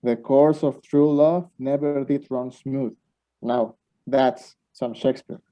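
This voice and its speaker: A man's voice: mid-range voice, steady tone, slow, close to an everyday voice. A man acting an anxious, impatient, lovestruck young student in an amateur radio play, earnest and a little stilted. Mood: competitive. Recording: dull lo-fi home recording.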